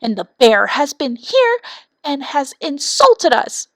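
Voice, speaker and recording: Shrill, indignant baby wrens. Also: female voice, very animated, takes it slowly, dry recording, heavily acted. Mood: stubborn indignation. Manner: screaming protests, stubborn and demanding. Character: shrill, indignant young wren chicks. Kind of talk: storybook character voice.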